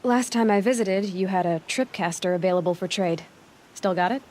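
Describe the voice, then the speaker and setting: An actress as wry, headstrong young huntress. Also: female voice, medium pitch, brisk, darkish tone, natural voice. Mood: teasing, confident. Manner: direct, questioning, dry sarcasm. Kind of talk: video game cutscene acting.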